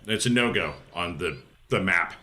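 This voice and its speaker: A man's voice: low voice, lively intonation, moderate pace, pushed and bright. A man chatting casually as a player in a tabletop role-playing game.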